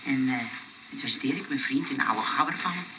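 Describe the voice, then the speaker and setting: An actor playing an excitable, earnest young man in a Dutch radio comedy. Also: medium pitch, moderate pace, dull audio, close to an everyday voice. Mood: sheepish, earnest. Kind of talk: Dutch radio play acting. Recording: noisy old radio play recording.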